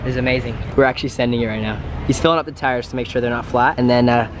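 Fast-talking young guy on noisy streets. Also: medium pitch, steady tone. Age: young adult. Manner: fast, playful, joking, often laughing. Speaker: vlogger. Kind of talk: excited casual vlogging. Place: outdoors on city streets. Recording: loud street and traffic noise.

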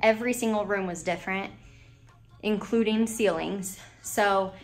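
American woman in her 20s doing a casual house tour vlog, chatty n informal, middle pitch, holding the camera herself. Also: steady tone, measured pace, soft background music. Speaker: vlogger giving a house tour. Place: empty rooms of a house, indoors. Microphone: handheld camera or phone at arm's length.

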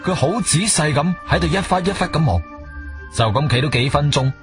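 Guangzhou Cantonese male storyteller, fairly high voice. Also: animated, fairly quick. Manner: conversational storyteller with interjections. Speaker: narrator. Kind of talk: animated radio drama storytelling. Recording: music bed behind studio voice.

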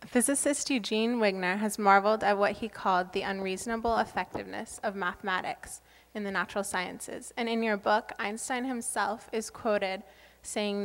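A woman's voice: medium pitch, steady tone, normal speed, slight background noise. Young woman reading a prepared question. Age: young adult. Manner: careful, reads a long question. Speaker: student panelist. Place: stage panel table in a hall. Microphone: handheld mic.

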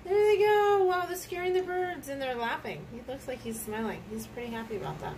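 A woman with a fairly high voice reads a picture book aloud in a casual, chatty way to a child. She exclaims and prompts with questions. The mic is some distance from her, with noise clearly audible. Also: brisk.

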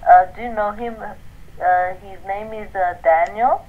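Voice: medium pitch, fairly even intonation, unhurried. A young co-host of prank calls chatting casually. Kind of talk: casual chat. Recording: dull lo-fi voice chat, faint noise.

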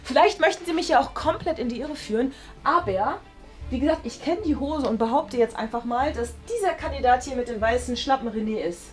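A young woman chatting casually and playfully in a studio room, thinking aloud and excitable as she plays a guessing game. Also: sits high, brisk. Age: young adult. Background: music.

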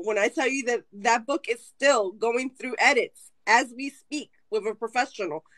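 A woman's voice: fairly high pitch, no room sound, rough recording. Candid woman author, Spanish-native. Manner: animated, candid storytelling. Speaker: author guest. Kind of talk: casual video call panel chat. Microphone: video call webcam.

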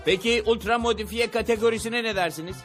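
A man's voice: sits high, fast talker, a bit bright, faint music behind. A man playing a boastful, laid-back young car fanatic in a Turkish-dubbed cartoon. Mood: proud, casual.

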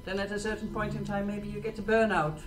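A woman's voice: medium pitch, flat delivery, fast. A middle-aged woman hosting an event, talking informally to an audience and putting questions to them. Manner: rhetorical questions to the listeners. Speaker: event host. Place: small stage in a presentation room. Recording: distant mic, clearly noisy room.

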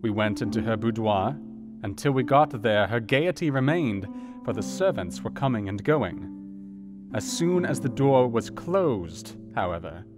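A man performs a Victorian doctor in a radio play, earnest and formal. He delivers his letter at a measured step in a middling register. Plucked music plays behind him. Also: mid-range voice, animated, lightly acted. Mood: earnest, concerned. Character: earnest male Victorian doctor writing to a friend. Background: music with plucked guitar.

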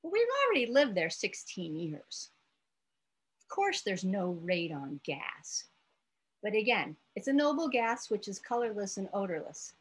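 An American woman in her late forties giving an informal, halting lesson with storytelling, thinking aloud as she explains. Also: mid-range voice, very animated, breathy edge. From United States, Iowa. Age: late 40s. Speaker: therapist presenting an educational video letter.

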